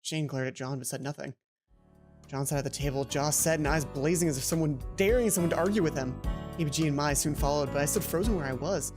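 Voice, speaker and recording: A let's play host with a voice pitched between typical male and female ranges reads a visual novel aloud. The delivery swings between dramatic narration and offhand commentary, with clear game music underneath. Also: quick pace. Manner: dramatic read-aloud mixed with offhand asides, stumbles over lines. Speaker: gaming video host.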